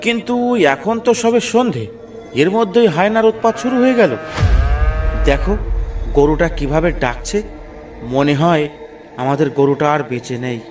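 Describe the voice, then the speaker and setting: A man plays a stubborn, dutiful cartoon villager and husband, somewhat high in pitch. He speaks firmly and earnestly, slightly away from the mic over soft background music. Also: moderate pace, pauses often, far from the mic, lightly acted. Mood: uneasy. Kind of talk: cartoon voice acting.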